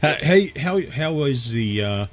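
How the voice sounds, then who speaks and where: An Australian man hosting a gaming podcast in a laid-back chat with his brother, still a little croaky from a cold. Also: medium pitch, very animated, measured pace, faint music behind. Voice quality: husky. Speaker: podcast host. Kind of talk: casual chat.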